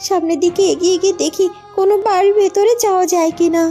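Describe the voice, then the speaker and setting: A woman's voice: extremely high pitch, steady tone, quick pace, dark tone, soft background music. A woman voicing a weary, determined young woman in a fairy-tale cartoon, earnest and pleading.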